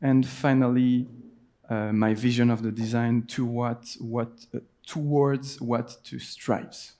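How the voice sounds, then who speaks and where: A young man from Switzerland speaks English with a Swiss French accent, presenting at a conference. He pauses often, fills gaps with "uh," and restarts his sentences. His voice sits at a middle pitch with a mellow, dark tone. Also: flat delivery. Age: 20s. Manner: hesitant, frequent fillers and restarts. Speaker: designer giving a conference talk. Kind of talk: presentation talk.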